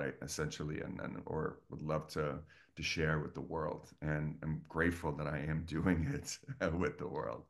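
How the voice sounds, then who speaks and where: A man from Estonia, a guest coach, talking earnestly and effusively in a casual interview over a video call. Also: rumbling low. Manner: earnest, effusive, many restarts.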